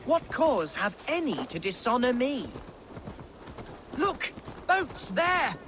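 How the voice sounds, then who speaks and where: Pompous young Saxon noble: high, animated, florid, over game score. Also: male voice, slow pace, background noise, exaggerated voice. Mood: musing. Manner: pompous, theatrical, florid speech. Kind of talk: video game cutscene acting. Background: orchestral game music.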